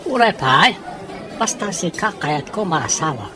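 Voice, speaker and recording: A woman playing a flattering, persistent foreign male suitor with halting, accented speech in an audio drama. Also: low-pitched, a crowd in the background, background noise. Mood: flirtatious.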